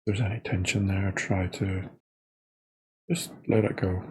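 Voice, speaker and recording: A man with a soft, husky voice leading a guided body scan meditation in an informal, unscripted, halting way. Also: deep voice, little pitch movement. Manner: hesitant, halting delivery with trailing phrases.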